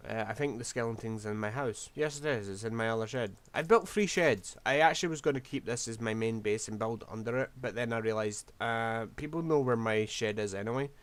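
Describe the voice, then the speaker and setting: Hoarse rambling man. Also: mid-range voice, fairly quick, few pauses. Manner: rambling, scatterbrained, self-deprecating. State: losing his voice. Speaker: game streamer. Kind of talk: casual gameplay commentary.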